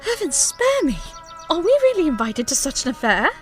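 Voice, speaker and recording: A woman's voice: sits high, wildly swooping pitch, moderate pace, faint music behind. A woman playing a prim, genteel young wife who speaks formally and teases her husband in an amateur audio drama.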